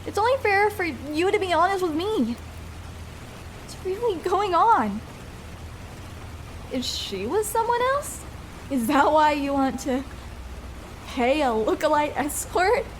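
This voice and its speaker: A very high, slow young female voice over clearly audible rain noise. A woman plays a shy, vulnerable first-time escort in an intimate ASMR roleplay. She is tender and hesitant, feeling her way through each phrase. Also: heavily acted, poor audio. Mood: pleading, probing. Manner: hesitant, halting, soft-hearted. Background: rain falling.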